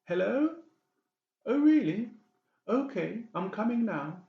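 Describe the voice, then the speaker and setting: Man acting a sugary, high-pitched sinister doctor. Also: big pitch swings, takes it slowly, vocal fry, echoey room, lo-fi sound, heavily acted. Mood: smooth, polite. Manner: exaggerated sugary politeness. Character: falsely sweet, sinister male psychiatrist, oily and scheming. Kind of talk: dramatised audiobook character voice.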